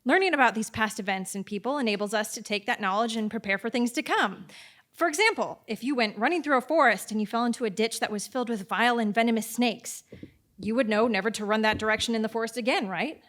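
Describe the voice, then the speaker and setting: A woman's voice: a little high, fast, fairly bright tone, close to an everyday voice. A woman playing an earnest, persistent medieval mother who homeschools her son, coaxing and instructive, in a live stage audio play.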